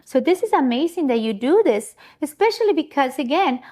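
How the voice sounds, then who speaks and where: Higher-voiced woman from ecuador hosting a chat show, gushing, stumbles on words a bit. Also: sing-song, brisk, dark tone. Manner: effusive, chatty, trips over words. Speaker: talk show host. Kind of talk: casual interview chat.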